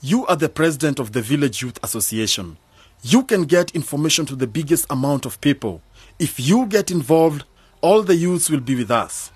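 A man's voice: a little high, expressive, normal speed, husky, no room sound. An actor playing an earnest, persuasive young village man in a radio drama.

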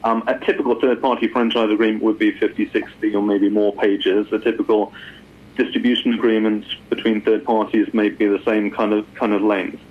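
Man on a phone-like line, slightly husky. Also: lowish voice, level tone, fairly quick. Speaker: guest expert, lawyer. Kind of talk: explaining in an interview.

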